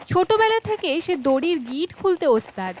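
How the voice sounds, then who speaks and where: High, animated woman storyteller. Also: normal speed, a little hiss or hum. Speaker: narrator. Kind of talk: scripted narration of a children's folk tale.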